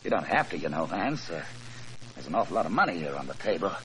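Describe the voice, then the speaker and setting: Hard-boiled old radio mob boss, forceful, slangy, bit of recording noise. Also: male voice, low-pitched, very animated, quick pace. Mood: bargaining. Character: tough, scheming male gangster boss. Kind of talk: 1940s radio crime acting. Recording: noisy 1940s radio recording.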